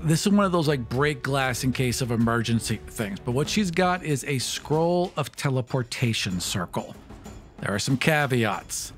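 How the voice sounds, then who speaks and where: A man playing a tabletop roleplaying game, casually improvising narration of the action. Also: mid-range voice.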